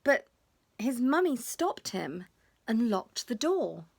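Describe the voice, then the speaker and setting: A woman reading a children's storybook expressively, with drawn-out emphasis and hushed moments. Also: fairly high pitch, sing-song, creaky, husky. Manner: dramatic pauses, drawn-out emphasis, drops to hushed tones.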